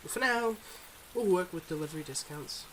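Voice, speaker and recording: Male actor as suave mob boss boyfriend, fairly high voice, confiding and teasing, intimate ASMR roleplay, rain behind. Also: expressive, fairly quick, fairly bright tone, slight background noise, low-quality recording. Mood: charming, relaxed. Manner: smooth, confiding, teasing, speaking to a listener.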